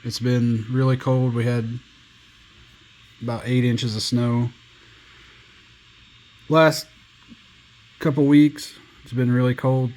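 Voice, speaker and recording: A man giving a casual hands-on review as a radio hobbyist, plainspoken and folksy with halting phrasing, recorded indoors. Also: medium pitch, a little mellow, slight background noise.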